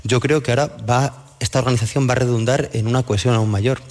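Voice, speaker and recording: A man's voice: mid-range voice, fairly even intonation, quick pace, a little mellow. Male pundit, Spain accent, mid-range voice, analytical commentary, long hall reverb. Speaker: panel commentator. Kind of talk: political commentary. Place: live radio show in a venue.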